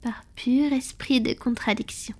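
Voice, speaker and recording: A woman plays a proud, ancient female vampire who is tender with a lover. The voice is slightly high and very breathy, mostly whispered. The delivery is slow and intimate, in the manner of a romantic ASMR roleplay. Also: steady tone, darkish tone. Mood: wistful, confiding. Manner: slow, intimate, affectionate murmuring.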